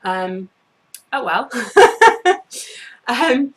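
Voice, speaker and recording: A woman's voice: fairly high pitch, sing-song, drawn-out words, low-quality recording. A woman in her thirties hosting a knitting video podcast from her bedroom, chatting casually, apologizing for tangents with plenty of ums, a little sniffly. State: a bit sniffly. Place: bedroom at home, indoors.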